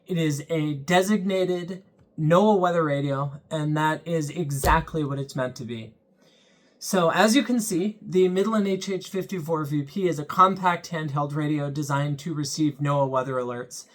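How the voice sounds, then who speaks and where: A man's voice: fairly high pitch, moderate pace. A young man hosting his own channel, giving a casual, earnest product review in a plain-spoken way with frequent ums. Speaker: channel host reviewing a weather radio.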